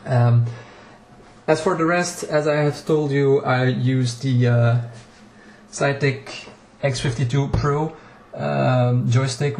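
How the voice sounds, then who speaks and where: Man whose first language is Dutch, mellow voice, halting with many fillers, echoey small room, faint hum. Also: medium pitch. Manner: hesitant, many fillers and restarts. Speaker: home cockpit builder and vlogger. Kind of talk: casual walkthrough video. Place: small home cockpit room. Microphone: action camera.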